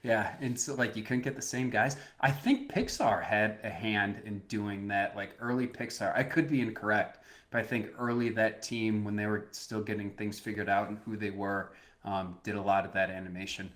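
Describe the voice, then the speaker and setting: A man in his thirties co-hosting a podcast, telling lively stories and laughing easily. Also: lowish voice, moderate pace. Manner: animated storyteller, laughs easily, restarts sentences. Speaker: podcast co-host. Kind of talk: casual chat.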